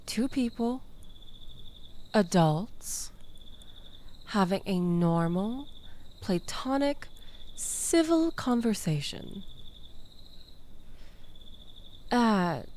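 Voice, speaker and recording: A woman's voice: mid-range voice, animated, drawn-out words, long pauses, darkish tone, a little hiss or hum. A woman playing a manipulative, flirtatious toxic ex-lover in an intimate audio roleplay, coaxing and teasing with mock innocence. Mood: teasing, seductive.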